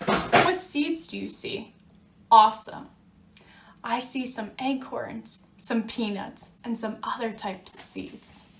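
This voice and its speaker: Female primary teacher for read-aloud lesson: unhurried, slightly high voice, question-led, echoey room. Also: noisy background. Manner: simple, instructive, prompting with questions. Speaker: teacher reading aloud to students.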